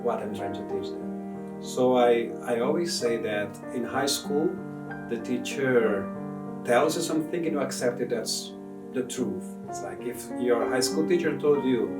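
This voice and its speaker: A male voice teacher speaks in an encouraging, questioning way. He sounds distant and roomy, with loud music playing underneath. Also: mid-range voice, expressive, measured pace. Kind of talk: classroom instruction and interview.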